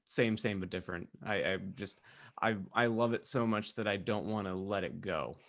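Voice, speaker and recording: Low-voiced man chatting on a podcast. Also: normal speed, fairly bright tone. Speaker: podcast co-host. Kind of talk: casual chat with friends. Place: remote video call.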